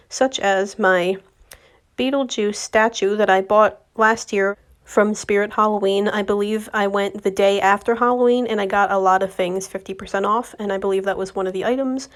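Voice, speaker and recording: A young woman with a slightly raspy voice from a recent cold, chatting casually to viewers as she narrates her decorating vlog. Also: medium pitch, moderate pace. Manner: chatty, friendly, addresses viewers directly. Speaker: home decor vlogger.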